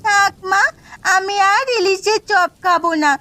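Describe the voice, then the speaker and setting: A woman's voice: very high-pitched, fairly even intonation, measured pace, bright, forceful tone, voice pushed up, full character voice. A woman voicing a sick little girl in a cartoon, wistful, childlike and pleading. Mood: sad, wistful. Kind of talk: Bengali cartoon voice acting.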